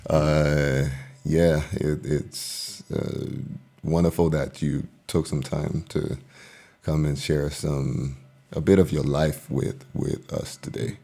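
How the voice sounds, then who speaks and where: A man hosting a casual podcast interview, speaking haltingly with many fillers and restarts. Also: extremely low pitch, little pitch movement, gravelly, dark tone. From Liberia. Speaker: podcast host.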